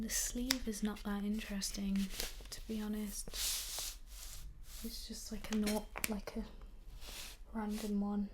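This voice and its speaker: A young woman whispering in a soft-spoken ASMR chat, slightly croaky while getting over an illness, with frequent ums. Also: mid-range voice, background noise. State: recovering from illness, at times croaky. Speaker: ASMR creator.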